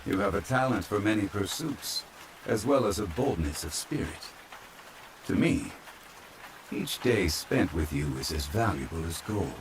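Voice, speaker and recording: A man playing a calm, courtly ancient former god from a fantasy game, speaking formally and with measured politeness in an ASMR roleplay. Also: deep voice, background noise. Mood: calm, courteous. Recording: steady rain sound over the voice. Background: rain falling.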